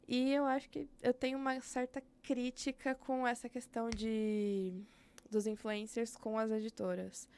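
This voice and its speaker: A woman's voice: fairly high pitch, little pitch movement, a little slow, darkish tone, clear, resonant tone. A young woman with a Brazilian Portuguese accent, speaking candidly as a podcast guest about her work as an educator, thinking aloud with frequent fillers. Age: young adult. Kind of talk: casual interview conversation.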